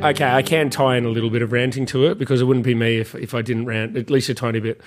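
A man co-hosting a casual podcast, bantering quickly with plenty of swearing and little rants. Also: medium pitch, steady tone, music in the background. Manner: fast, swearing, ranting. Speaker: podcast co-host.

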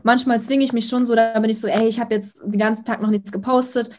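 A young woman, an influencer, chatting casually in an interview, outgoing and talking in quick bursts with many fillers. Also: a little high, level tone, a little mellow. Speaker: influencer guest.

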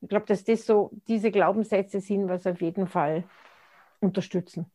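A woman's voice: mid-range voice, steady tone, moderate pace, mellow. A woman, speaking as an interview guest in a reflective, conversational way and often restarting her sentences as she searches for words. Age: 60s.

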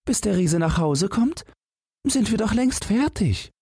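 A man's voice: high voice, animated, measured pace, warm tone, breathy edge, no room sound, studio quality, voice pushed up, exaggerated voice. A man voicing a brave, cheeky little girl in a fairy tale, plucky and determined. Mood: bold, pleading.

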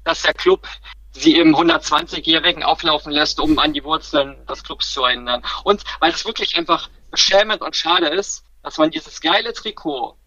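Bright-voiced chatty man, slightly muffled line. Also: a little high, moderate pace, no echo. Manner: chatty, rambling with self-corrections. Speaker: guest fan commentator. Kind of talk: casual podcast chat.

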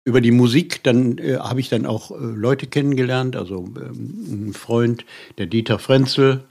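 A man in his seventies from western Germany, a musician being interviewed, recalling his past casually and haltingly, often breaking off to search for words. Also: mid-range voice, steady tone, creaky, a little mellow, breathy edge. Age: 70s. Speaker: interview guest, musician and writer. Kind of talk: casual personal recollection.

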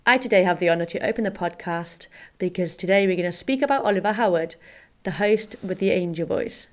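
A woman's voice: lowish voice, brisk. A woman hosting a casual podcast chat in a friendly, teasing way. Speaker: podcast host and footballer.

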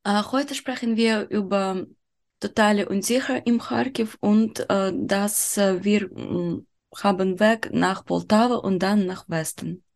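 A young woman from Ukraine speaking German with a Ukrainian accent, her first language being Ukrainian, pausing often to search for words in an interview. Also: medium pitch, steady tone, a little mellow. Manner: slow and halting, searching for words with many "äh" pauses. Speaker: guest.

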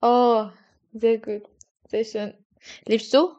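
A young woman whose first language is Kurdish, an intern training as a kindergarten teacher. She chats in a halting way with broken grammar. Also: on the high side, little pitch movement, dark tone, muffled recording. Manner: halting non-native speech with broken grammar. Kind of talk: casual interview chat.

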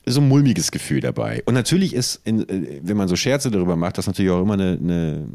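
Low, dry-sounding man chatting. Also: expressive, fast, creaky, dark tone, dry recording. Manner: ironic, self-deprecating, rambling with stutters. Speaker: podcast co-host. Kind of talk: casual joking chat.

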